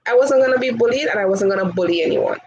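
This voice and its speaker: A woman's voice: on the high side, expressive, racing, darkish tone, lo-fi sound, slight background noise. A woman in her twenties from Tobago with a Tobagonian accent, talking outspokenly and laughing often in a casual interview. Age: 20s. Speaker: interview guest, hall chair.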